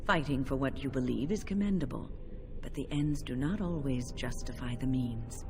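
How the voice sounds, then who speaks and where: An actress playing a wise, protective older mage in a video game, speaking in a measured, earnest, stern manner. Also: female voice, rumbling low, noisy background. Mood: admonishing. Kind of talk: video game character acting.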